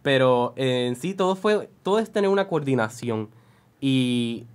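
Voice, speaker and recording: Young male guest, Puerto Rican accent, mid-range, casual and slightly halting as he explains his work. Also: medium pitch, lively intonation, smooth. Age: young adult. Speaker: student audio editor, guest. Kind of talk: conversational interview answers.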